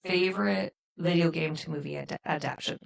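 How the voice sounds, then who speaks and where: A middle-aged woman with a gravelly, creaky voice, chatting casually as a podcast host. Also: low voice, a little fast. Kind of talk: casual chat.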